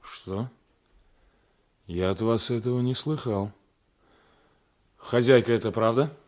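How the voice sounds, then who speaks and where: An officious village guard in a radio drama, played by a man. His mid-pitched voice probes and questions with insistence. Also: takes it slowly, darkish tone, close to an everyday voice. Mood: probing. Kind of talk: Soviet radio play acting. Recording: 1980s radio drama recording.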